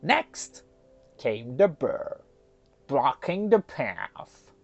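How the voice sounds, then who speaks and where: A woman's voice: a bass voice, sing-song, drawn-out words, highs cut off, faint music behind. A woman storyteller narrating a children's folktale expressively.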